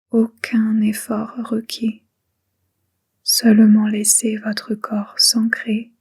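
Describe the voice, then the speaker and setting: A woman guiding a relaxation meditation in a breathy, whispery voice, soothing and hushed, in short spaced phrases. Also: medium pitch. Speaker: guided meditation instructor. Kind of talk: guided relaxation meditation, soft and unhurried.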